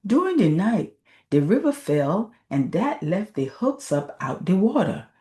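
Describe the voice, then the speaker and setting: A woman's voice: medium pitch, big pitch swings, unhurried, mellow, voice pushed up. A woman voicing a boastful man spinning a tall tale in folksy Southern dialect. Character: boastful male fisherman spinning tall tales among friends.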